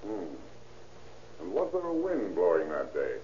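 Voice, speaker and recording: Formal low-voiced defense attorney, echoey old radio. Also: male voice, wildly swooping pitch, dark tone, heavily acted. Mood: methodical, confident. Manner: formal, deliberate courtroom questioning. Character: male defense attorney, courteous, methodical, formal. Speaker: actor in radio crime drama. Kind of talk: 1940s radio acting. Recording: muffled, noisy, echoing old radio recording.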